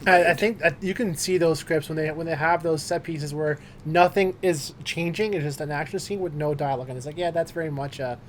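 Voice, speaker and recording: A man with a fairly high voice chats casually as a podcast co-host. He is agreeable and supportive and swears casually. There is faint ambient noise behind him. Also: fairly even intonation, fairly quick, hardly any pauses. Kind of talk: casual chat.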